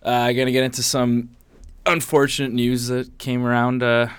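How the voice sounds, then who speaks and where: A man's voice: mid-range voice, level tone, moderate pace, clear, resonant tone, no room sound. A man hosting a podcast in casual, joking and profane chat. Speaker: podcast host.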